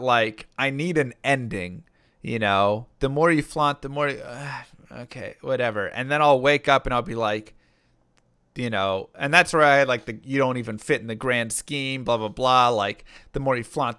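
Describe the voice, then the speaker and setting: A man's voice: mid-range voice, pushed and bright, clear, resonant tone, no echo, studio quality. A man streaming live, casually answering chat questions and rambling with fillers and restarts. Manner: rambling, lots of fillers and restarts, reads chat messages aloud. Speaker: streamer and rapper.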